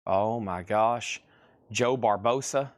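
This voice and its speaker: A man hosting a live record sale stream, briskly listing items and current bids with chatty asides to viewers. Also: fairly low pitch.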